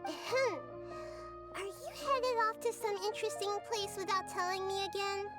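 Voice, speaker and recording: Tiny wise little girl goddess for a game, very high voice, kind n curious, music behind. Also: moderate pace. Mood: playful, curious. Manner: thoughtful, articulate, gently earnest. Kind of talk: video game voice acting.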